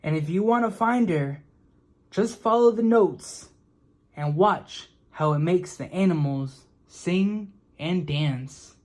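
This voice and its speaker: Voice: mid-range voice, slow pace, a little mellow, close to an everyday voice. A reader voicing a friendly man in a children's storybook who greets and guides travelers heartily.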